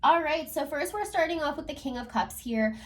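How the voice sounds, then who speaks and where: A woman with a hoarse, fading voice from a cold, giving a chatty tarot reading at home with lots of fillers. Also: high-pitched, animated, a little fast, fairly bright tone, recorded from a distance. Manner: chatty, filler-heavy, talks to spirit and viewers. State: hoarse, losing her voice from a cold. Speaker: tarot reader. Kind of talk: casual tarot reading to camera. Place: upstairs room at home.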